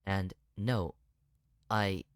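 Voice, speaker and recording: A man voicing a quiet, awkward young killer crush in a horror visual novel. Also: low-pitched, steady tone, very slow, clear, resonant tone, dry recording, clean studio sound. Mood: composed, cool. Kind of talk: dramatic game character reading.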